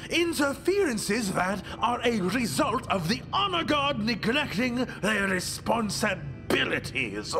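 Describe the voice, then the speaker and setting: An actor playing a pompous, menacing authority figure with a theatrical, deliberate delivery in a video game. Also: mid-range voice, heavily acted. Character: pompous, menacing authority ruling over young guardians. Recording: faint music behind.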